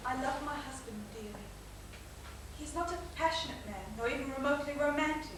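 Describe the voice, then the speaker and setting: A woman's voice: sits high, a little fast, lightly acted. A woman playing an anguished married lady torn by secret passion in a stage period comedy, with melodramatic pleading delivery. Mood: solemn, yearning. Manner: melodramatic, breathless pleading, theatrical. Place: theatre stage, recorded from the audience. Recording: echoey theatre stage, distant mic, faint hiss.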